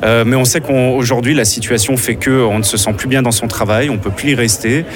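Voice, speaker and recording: Male director, quick fluent explanations, assured, music underneath. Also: mid-range voice, fairly even intonation. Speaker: director of a job-support organization. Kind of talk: explaining in a radio interview.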